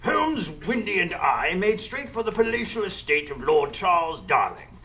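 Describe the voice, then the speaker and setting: Man acting a lively, gentlemanly storyteller. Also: high-pitched, creaky, full character voice. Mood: composed. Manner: measured, literary storytelling. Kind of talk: scripted radio drama narration.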